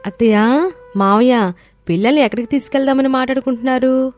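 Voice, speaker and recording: A woman's voice: high-pitched, fast talker, a little mellow, lightly acted. A woman voicing a clever, respectful young daughter-in-law in a cartoon family story, polite and earnest. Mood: eager, polite. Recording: music bed under voice.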